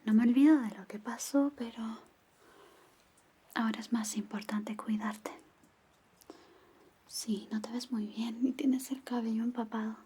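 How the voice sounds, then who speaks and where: A woman as caring girlfriend, concerned, soothing ASMR whisper, very breathy, mellow. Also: a little high, steady tone, measured pace, pauses often. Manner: soothing, concerned, gently firm. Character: caring, protective, gently firm young girlfriend. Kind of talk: whispered ASMR roleplay in Spanish.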